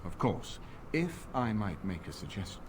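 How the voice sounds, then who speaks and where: An earnest scheming lord in a fantasy game, formal, deliberate, voice swings a lot, noisy behind. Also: male voice, lowish voice, big pitch swings. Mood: scheming, measured. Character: earnest, shrewd noble elder statesman. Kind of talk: fantasy video game voice acting.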